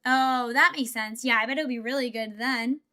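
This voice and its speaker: A woman's voice: a little high, moderate pace, sharp tone. A young woman streamer chatting casually while building Lego, thinking aloud and answering her chat. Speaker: VTuber streamer.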